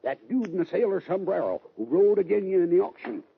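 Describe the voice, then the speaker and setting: An actor playing a comic, folksy old cowboy sidekick in a radio western, with a gravelly voice and excitable frontier slang. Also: male voice, high-pitched, fairly quick. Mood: wary. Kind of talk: 1940s-50s radio western acting. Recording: dull, noisy old radio recording.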